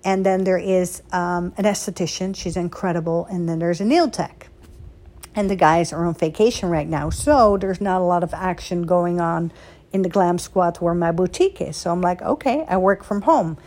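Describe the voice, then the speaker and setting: A woman's voice: on the low side, normal speed, darkish tone. A woman from the Netherlands, chatting casually and emphatically as she tells personal stories in short, punchy phrases. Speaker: boutique owner hosting a solo social media chat. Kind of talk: casual chat, personal storytelling.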